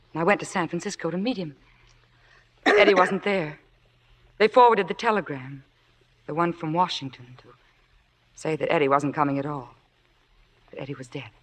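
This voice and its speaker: An actress playing a demure, earnest young theatre fan, humble and polite, in an old radio drama. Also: female voice, fairly low pitch, fairly bright tone. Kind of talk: 1940s-50s radio drama acting. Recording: old radio broadcast recording.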